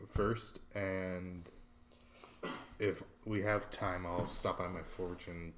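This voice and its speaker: A man's voice: low-pitched, level tone, takes it slowly, noisy background. A man playing a tabletop game, talking casually and hesitantly. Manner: hesitant, starts with "uh". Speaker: player.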